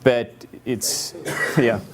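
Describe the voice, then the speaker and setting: A man's voice: medium pitch, fairly even intonation, drawn-out words, a little hiss or hum. A man in his thirties with a Swedish accent gives a conference talk in a hall, hesitant with many fillers and restarts and wry asides. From Sweden. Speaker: conference presenter. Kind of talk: conference presentation.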